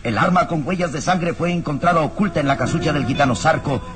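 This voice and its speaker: A man narrating a radio serial from a script in a dramatic, solemn, deliberate way. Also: fairly high pitch, over music.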